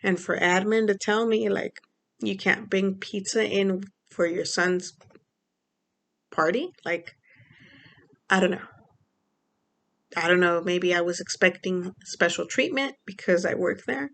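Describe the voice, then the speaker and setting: Gravelly-voiced woman from Mexico, rambling vlog. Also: mid-range voice, measured pace, pauses often, fairly bright tone. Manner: rambling, many fillers. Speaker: vlogger. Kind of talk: casual personal vlog.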